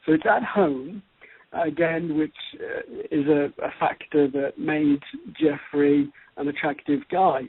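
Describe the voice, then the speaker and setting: Husky, creaky man on a phone-like line. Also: a little high, animated, no echo. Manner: halting, deliberate, repeats and pieces phrases together. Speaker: co-host, astrologer. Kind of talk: conversational astrology commentary. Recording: tinny, phone-like radio-show audio.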